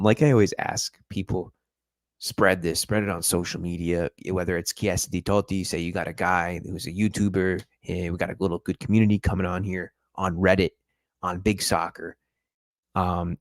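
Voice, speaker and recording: A man's voice: deep voice, no echo, studio quality. A man hosting a live-stream football review, talking viewers through the match in a chatty, analytical way. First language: English. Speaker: football podcast host.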